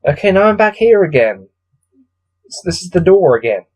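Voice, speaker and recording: A man's voice: high-pitched, sing-song, normal speed. A man hosting a gamebook read-through, mixing read-aloud narration with casual, bored and exasperated commentary. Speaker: host reading a gamebook aloud.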